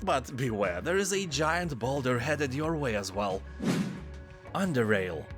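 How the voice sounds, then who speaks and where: A man hosting a game review channel, reading a scripted voice-over with sarcastic joking asides. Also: fairly high pitch. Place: voice-over, not on location. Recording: voice-over with loud background music.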